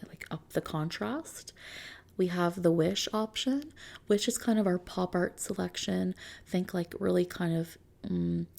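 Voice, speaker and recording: A soft-spoken woman doing an ASMR roleplay as a consultant, explaining options to a client in an unhurried manner. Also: on the low side, gravelly.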